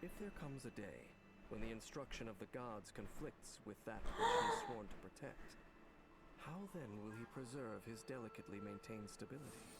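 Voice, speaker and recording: A man's voice: mid-range voice, huge pitch swings, normal speed, heavy background noise. A man reading scripted narration for a game character showcase. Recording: loud music under the voice. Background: loud music.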